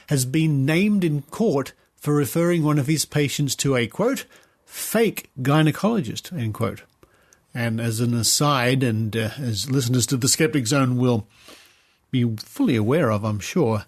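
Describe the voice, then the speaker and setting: An Australian man hosting a podcast, reading aloud in a measured, explanatory way with conversational asides. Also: mid-range voice. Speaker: podcast host.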